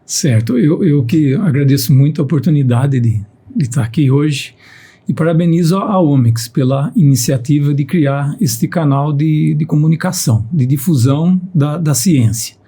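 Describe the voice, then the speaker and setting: Husky Piracicaba-accented man in his fifties. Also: a little high, moderate pace. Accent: Piracicaba countryside Brazilian Portuguese. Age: 50s. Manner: repeats words while starting sentences, steady explanatory tone. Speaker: guest scientist. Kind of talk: podcast conversation.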